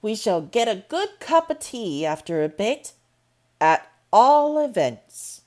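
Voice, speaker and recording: A woman's voice: mid-range voice, big pitch swings, slow, warm tone. A woman voicing a plain-spoken middle-aged housekeeper who speaks firmly and matter-of-factly. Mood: brisk.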